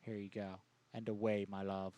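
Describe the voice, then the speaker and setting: A man's voice: lowish voice, little pitch movement, moderate pace, dark tone, dull audio. A man casually bantering as host of a live prank call show, with crude, slangy joking.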